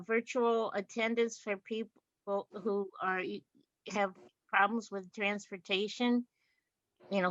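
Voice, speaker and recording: A woman of medium pitch talks casually in an online meeting, rambling a little. The sound is dry and dull, like lo-fi call audio. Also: fairly bright tone, no echo. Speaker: club member in a meeting. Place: video call.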